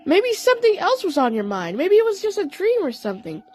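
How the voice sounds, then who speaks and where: A woman's voice: very high voice, huge pitch swings, fairly quick, a little mellow, pitched above the performer's own voice, heavily acted. A woman voicing a cheerful, soothing cartoon panda best friend in an audio play. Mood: reassuring.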